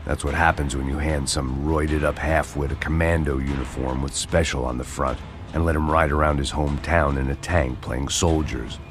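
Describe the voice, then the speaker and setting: An actor playing a weary hard-boiled ex-cop, narrating in cynical, sardonic noir voice-over. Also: male voice, a bass voice, fairly even intonation, normal speed. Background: music.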